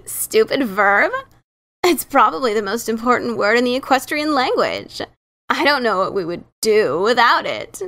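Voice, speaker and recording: A woman's voice: on the high side, sing-song, unhurried, a bit bright. A woman voicing a brash, teasing adventurer pony mare with a scratchy voice in a dramatic reading. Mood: mocking. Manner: cocky, teasing, informal. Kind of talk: dramatic reading of a fan story.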